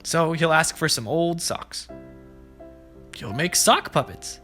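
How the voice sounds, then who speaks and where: Expressive higher-pitched man reading to kids. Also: slow pace. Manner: expressive, speaking simply to young children. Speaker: preschool story-time host. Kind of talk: children's storybook read-aloud and simple explanations. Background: background music.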